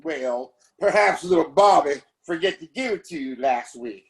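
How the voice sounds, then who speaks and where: A smug villainous mayor for a Western audio play. His raspy voice rides fairly high and swoops grandly. Every phrase is pompous and falsely polite. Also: animated, normal speed, heavily acted. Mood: falsely sympathetic. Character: smug, oily Old West town mayor.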